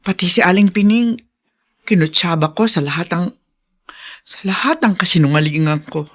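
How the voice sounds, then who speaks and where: A woman's voice: lowish voice, lively intonation, moderate pace. An actress playing a sorrowful, contrite middle-aged mother in a Filipino radio drama, confessing with earnest melodrama.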